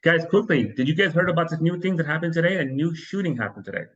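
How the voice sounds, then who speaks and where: A man's voice: fairly high pitch, animated, quick pace. A man hosts a casual podcast chat. He rambles and often restarts his sentences mid-thought, and his voice comes through slightly dull and muffled. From New York, US. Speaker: podcast host.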